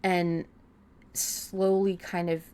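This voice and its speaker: A woman with a somewhat low-pitched voice chats as a podcast co-host giving writing advice. She is informal and rambles a little, correcting herself as she works toward her point. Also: little pitch movement, very slow, darkish tone, smooth. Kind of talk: casual writing-advice discussion.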